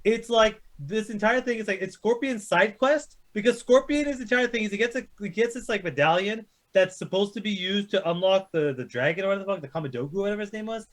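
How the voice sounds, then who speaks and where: A man's voice: sits high, fast, few pauses. A man co-hosting a casual chat show, arguing and telling stories in an exasperated way, swearing a lot. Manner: exasperated, argumentative storytelling. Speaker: co-host of a video game movie chat show. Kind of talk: casual animated ranting, heavy swearing.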